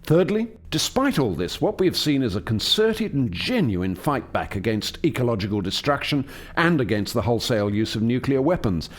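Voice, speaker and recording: A man playing an authoritative alien scientist who leads a laboratory, lecturing in a formal, measured manner in a radio play. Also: medium pitch, big pitch swings. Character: Doctor Foster, authoritative male alien scientist leading a lab.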